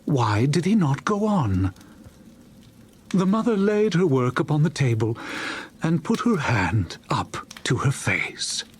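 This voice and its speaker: A man narrating a dramatized story in a solemn, expressive manner. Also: fairly high pitch, takes it slowly, slightly rough.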